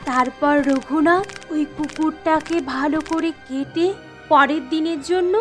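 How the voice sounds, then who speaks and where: A woman's voice: high voice, a little slow, fairly bright tone, faint music behind. A woman narrating a Bengali cartoon story in a plain storytelling manner.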